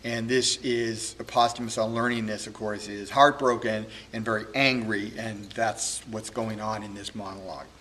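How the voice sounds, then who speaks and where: A man giving an informal spoken introduction as a presenter, halting with frequent ums and pauses. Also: lowish voice, level tone, a bit bright, poor audio. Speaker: librarian presenting a poetry reading.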